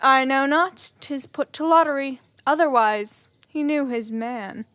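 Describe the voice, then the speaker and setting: A woman playing a proud, dismissive warrior in a Shakespearean audio drama, haughty and deliberate. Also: high voice, a bit bright, dry recording.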